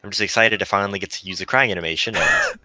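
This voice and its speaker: A man's voice: fairly low pitch, huge pitch swings, rapid-fire, bright, forceful tone, no echo, faint music behind. A man streaming a casual read-along, chatting and joking informally.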